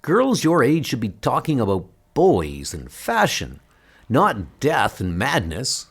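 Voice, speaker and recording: A man's voice: medium pitch, huge pitch swings, takes it slowly, breathy edge, full character voice. A man playing a weary, worried father in a comic book dub, exasperated and reproachful.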